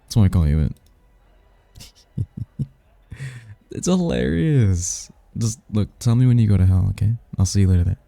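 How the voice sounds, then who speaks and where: An actor voicing a suave, flirtatious male demon in an ASMR roleplay, with a low, husky, gravelly voice and a teasing, smooth-talking manner. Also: expressive, moderate pace, long pauses, mellow, dry recording. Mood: teasing, sly.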